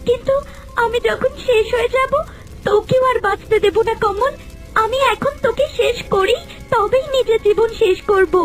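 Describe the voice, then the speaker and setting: A woman's voice: very high voice, moderate pace, music in the background, rough recording. A woman voicing a vengeful, scorned serpent maiden in a cartoon melodrama, speaking with menace. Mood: agonized, vengeful.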